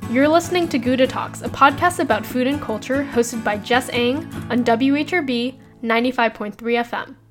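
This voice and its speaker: Female radio podcast host, scripted narration, measured read over background music. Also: sits high. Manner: measured, read-aloud delivery.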